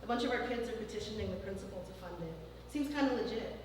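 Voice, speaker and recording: A man's voice: high voice, fast, a little hiss or hum, natural voice. A woman reading the lines of a laid-back, blunt male teacher, in an offhand, dry way, heard from a distant mic in a reverberant hall.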